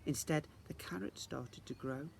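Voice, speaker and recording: A man reading a children's story aloud in the expressive style of a teacher reading to young children. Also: mid-range voice, moderate pace, vocal fry, a little hiss or hum.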